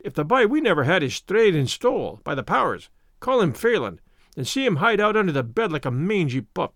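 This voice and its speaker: A man voicing a gruff, argumentative but soft-hearted middle-aged Irish husband with a rough, sardonic manner in a storybook reading. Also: high voice, wildly swooping pitch, normal speed, no echo, studio quality, pitched above the performer's own voice, exaggerated voice.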